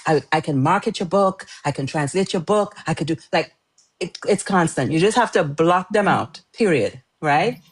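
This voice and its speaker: A woman's voice: on the low side, lively intonation, moderate pace, slightly rough. A middle-aged woman from Trinidad, an author chatting as a guest in an interview, talkative and laughing often. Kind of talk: casual interview chat.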